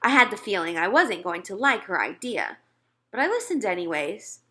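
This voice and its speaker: A woman narrating a children's story as an expressive read-aloud. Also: medium pitch, normal speed.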